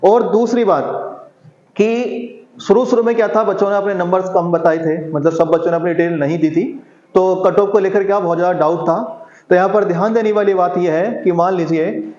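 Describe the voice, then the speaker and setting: A man's voice: high voice, steady tone, fairly quick, darkish tone. A man giving an exam-prep lesson, asking himself rhetorical questions, answering them and repeating key figures. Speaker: exam coaching teacher. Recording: echoey room, dull lo-fi sound.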